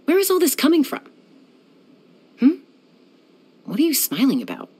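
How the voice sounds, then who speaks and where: A woman's voice: sits high, huge pitch swings, normal speed, mellow. A young woman voicing a self-assured, dryly teasing gunslinger who flies and settles disputes, in video game voice lines. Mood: cool. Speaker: game character.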